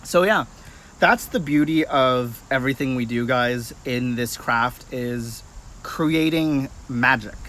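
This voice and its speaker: A man's voice: mid-range voice, slow pace, a bit bright, a little hiss or hum, poor audio. A man in his late twenties chatting casually and informally to viewers on a livestream. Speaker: livestream host, practitioner of folk magic.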